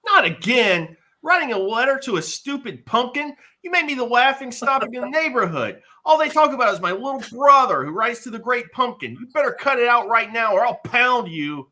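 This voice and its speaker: A man's voice: very high voice, big pitch swings, normal speed, few pauses, pushed and bright, heavily acted. A man voicing a bossy, scornful young cartoon girl in a scolding, blunt manner at a live table read. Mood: angry.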